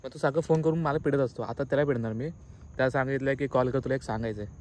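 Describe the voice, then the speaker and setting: A young man chatting casually as a vlogger, teasing and often halting or repeating himself, with some noise around him. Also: mid-range voice, fairly even intonation. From Maharashtra, India. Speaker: vlogger making a prank call. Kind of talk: casual chat, playful vlog.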